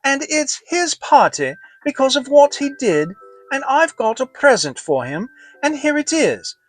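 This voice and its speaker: Storybook boy, adult male actor: young, earnest, high pitch, music underneath. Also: very animated, unhurried, exaggerated voice, rough recording. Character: earnest young boy addressing his animal friends. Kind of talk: dramatic children's storybook reading.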